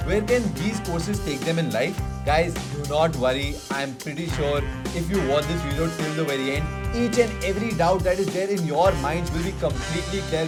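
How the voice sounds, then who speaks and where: Brisk male host. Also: fairly high pitch. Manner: energetic, addresses viewers as "guys". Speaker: interviewer and video host. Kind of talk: upbeat talk to camera and interview.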